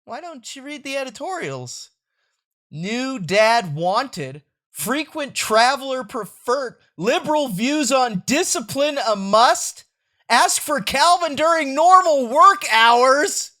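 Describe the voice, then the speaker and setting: A dramatic little boy from a comic strip, performed by a man, full of schemes and exclamations. The voice is pitched up and bright, swinging widely as he gets excited. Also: takes it slowly, exaggerated voice. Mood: scheming. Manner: exclamatory, theatrical.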